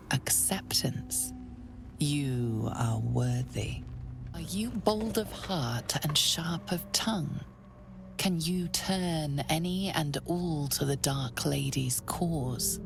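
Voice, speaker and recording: A woman playing a solemn, mysterious narrator of a fantasy game, measured and hushed. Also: sits low, creaky, over music, heavily acted. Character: solemn, mysterious female storyteller.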